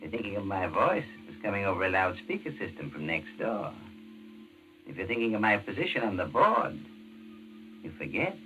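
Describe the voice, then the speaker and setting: Menacing male villain, low pitch, animated, mock-polite, theatrical, old radio thriller, loud music. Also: poor audio. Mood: smug. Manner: mannered, unhurried, mock-polite delivery. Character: gloating male villain in surgical mask, menacing, theatrical, courteous. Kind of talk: 1940s radio thriller acting.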